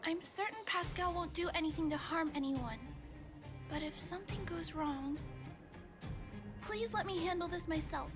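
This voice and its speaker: A woman voicing a gentle, earnest young girl in a video game, polite and sincere. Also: extremely high pitch, moderate pace, pauses often. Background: game music.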